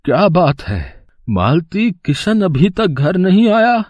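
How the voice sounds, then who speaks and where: A man voicing a poor old cobbler grandfather, gentle, weary and affectionate, in a moral story. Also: high-pitched, sing-song, slow, dry recording, muffled recording, full character voice. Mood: worried. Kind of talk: animated moral story dialogue. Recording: clear street and traffic noise behind.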